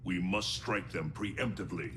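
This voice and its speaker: A man's voice: low voice, normal speed, noisy background, natural voice. An actor voicing a stern, commanding male thunder god with a solemn, grave delivery. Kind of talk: video game cutscene acting. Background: orchestral game score.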